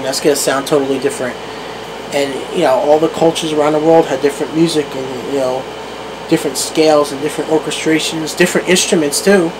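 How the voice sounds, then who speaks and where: A young man from New Jersey with a central New Jersey accent, rambling casually to his camera in a solo vlog. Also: a little high. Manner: rambling, with many restarts and fillers, laughing at himself. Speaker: vlogger. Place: room at home, talking to a camera on a tripod. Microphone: camera microphone. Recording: noisy home camera recording.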